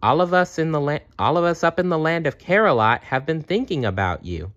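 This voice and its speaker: A man's voice: fairly high pitch, big pitch swings, a little fast, a bit bright, no room sound, voice pushed up. A man voicing a merry, encouraging male bear from a children's storybook, on a dull recording. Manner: cheerful, encouraging.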